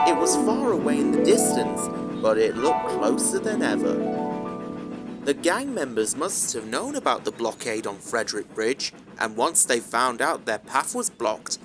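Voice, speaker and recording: A man's voice: mid-range voice, sing-song, measured pace. A man reading a scripted children's story as a narrator, with music behind him. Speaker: narrator of a fan dub.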